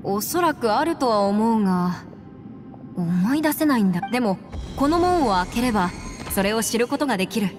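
A woman playing a thoughtful, upright legal adviser in a video game, speaking calmly and formally. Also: fairly high pitch, moderate pace, long reverb tail, over music, close to an everyday voice. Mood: earnest, reflective. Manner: calm, formal, earnest.

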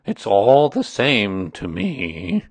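A man's voice: lowish voice, wildly swooping pitch, extremely slow, husky, dry recording, full character voice. A man voicing a gloomy, put-upon donkey who grumbles in a plodding, aggrieved way for a children's storybook. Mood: sullen, resigned. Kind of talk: children's storybook character reading.

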